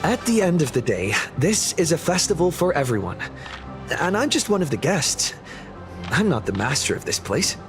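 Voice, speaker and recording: A man's voice: a little high, big pitch swings, normal speed. A man playing a modest, easygoing young adventurer in an anime-style game. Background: game music.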